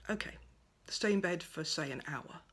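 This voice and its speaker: A woman giving a gentle, thoughtful monologue at home by a window, her voice soft and at times close to a whisper. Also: on the low side, animated, moderate pace. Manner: soft-spoken, thoughtful, drifts into near-whispers. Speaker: pagan speaker sharing reflections. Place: at home by a window over a garden.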